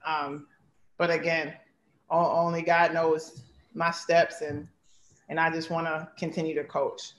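A woman's voice: deep voice, little pitch movement, unhurried. Woman coach in her 30s, earnest and chatty, lots of "like, you know", roomy echoey sound. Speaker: basketball head coach, guest. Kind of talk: casual interview answers.

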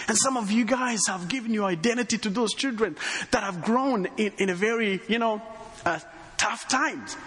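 A man's voice: high-pitched, fairly bright tone, big-room sound. A man from Rwanda, with his own accent and a slight Texan touch, preaching as a guest pastor, emphatic and full of repeated phrases and call-outs. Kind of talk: sermon.

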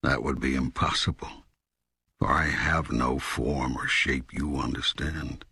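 God as an all-knowing teacher in an audiobook dialogue. The voice is extremely low and heavily gravel-rough, recorded dry and close. Each phrase is deliberate and emphatic, with patient authority. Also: male voice, steady tone, bright, forceful tone, no room sound, exaggerated voice. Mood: patient, explaining.

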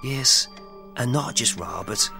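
An actor playing a solemn, probing priest who investigates spirits in an audio play, grave and cryptic in manner. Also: male voice, medium pitch, animated, slow, gravelly, music in the background. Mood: gently insistent. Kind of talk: dramatic audio play acting.

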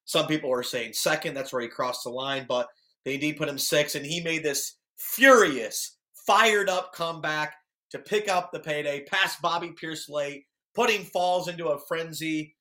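An American man with a raspy voice, hosting a sports podcast and recapping action in a casual, chatty way. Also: on the high side, normal speed, pushed and bright. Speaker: podcast host.

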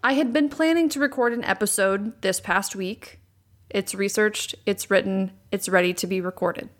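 A young adult woman hosting a solo podcast, with a raspy voice and vocal fry worn from recording many times, talking candidly and repeating words for emphasis. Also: mid-range voice, normal speed. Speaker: podcast host. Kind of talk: casual solo podcast talk. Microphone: USB condenser microphone.

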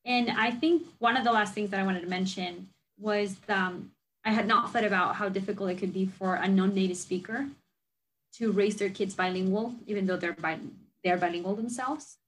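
A woman's voice: medium pitch, steady tone, normal speed, poor audio. A chatty young woman you might hear hosting a parenting podcast, casually thinking things through with a colleague. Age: young adult. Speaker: host and researcher. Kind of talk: casual reflective conversation.

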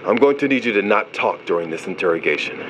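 A man's voice: on the low side, expressive, fast, noisy background, close to an everyday voice. An actor playing a stern ship's investigator in an audio drama, firm, commanding and skeptical as he questions a suspect. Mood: stern, controlled. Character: stern, authoritative male ship's investigator running an interrogation.

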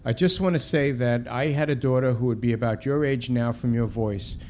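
A man's voice: medium pitch, brisk, darkish tone, lightly acted. A man voicing a middle-aged woman caller, a tender and sincere bereaved mother. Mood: sorrowful.

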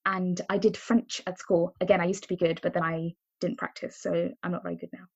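A woman's voice: lowish voice, flat delivery, a little fast, creaky, breathy edge, highs cut off. A woman from India whose first language is Tamil, co-hosting a podcast and asking curious questions. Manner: curious, asks many questions, frequent agreeing murmurs. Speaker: podcast co-host. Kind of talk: casual conversational podcast.

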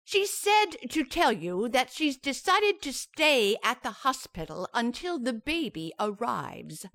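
An actress playing a polite, businesslike hospital desk nurse in an old-time radio sitcom. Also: female voice, on the high side, big pitch swings, slow pace, a bit bright, no room sound, heavily acted. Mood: patient. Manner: polite, composed.

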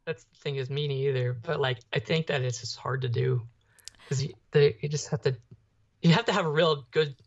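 A young woman co-hosting a podcast, chatting casually in a hesitant, halting way and often repeating words. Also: extremely low pitch. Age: young adult. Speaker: podcast co-host. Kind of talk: casual pop culture chat. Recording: slightly dull, muffled microphone sound.

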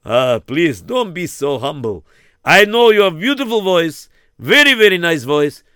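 A man's voice: a little high, sing-song, measured pace, bright, forceful tone, clear, resonant tone, dry recording, clean studio sound, exaggerated voice. A man voicing a pompous count who speaks in broken English and coaxes grandly. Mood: coaxing. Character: pompous male count, eager nobleman in uniform. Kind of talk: acted storytelling.

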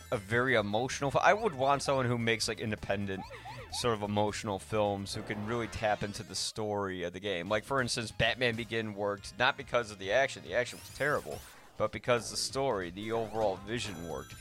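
A man hosting a podcast, casually discussing films in a rambling, halting way that often interrupts itself. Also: on the low side, a bit bright, soft background music. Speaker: podcast host.

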